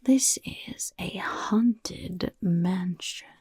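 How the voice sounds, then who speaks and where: A woman's voice: fairly low pitch, extremely slow, a little mellow, no room sound, studio quality, full character voice. A woman playing a chatty, roguish goblin guild member in a whispered ASMR fantasy roleplay, with casual, conspiratorial delivery and wry asides. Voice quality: breathy, whispery.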